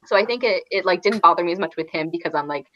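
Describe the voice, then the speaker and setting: A woman's voice: lowish voice, very fast, fairly bright tone, highs cut off, slight background noise. A woman in a casual, rambling book discussion, peppering her sentences with "like". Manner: rambling, frequent "like". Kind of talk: casual chat about books.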